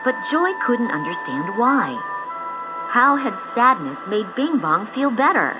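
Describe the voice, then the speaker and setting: A woman reading scripted storybook narration in an expressive, measured storytelling style. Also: on the high side, rough recording. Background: music.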